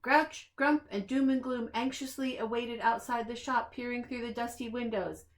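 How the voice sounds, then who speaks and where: A woman reading a children's picture book aloud in an expressive, deliberate storytelling manner, as if to young listeners. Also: on the high side. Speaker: preschool staff reader.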